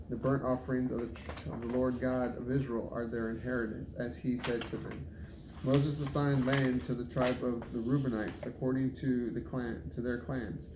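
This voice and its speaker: Male scripture reader, even and unvaried in pitch, stumbles on difficult names, roomy sound with background noise. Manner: halting, stumbles over unfamiliar names. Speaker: Bible reader. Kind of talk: reading scripture aloud. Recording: echoey room with clear background noise.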